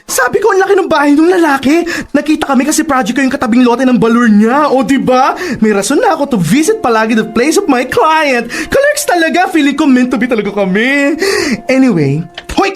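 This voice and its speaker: Voice actor as a gossipy flamboyant friend, high voice, swooping, radio drama, dramatic. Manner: chatty, teasing, shrieks in panic.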